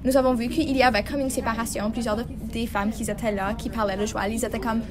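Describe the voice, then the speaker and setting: A woman's voice: on the high side, fairly even intonation, fast, crowd noise. Hesitant teen girl, lots of fillers. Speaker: student in a class discussion. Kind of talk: classroom round-table discussion.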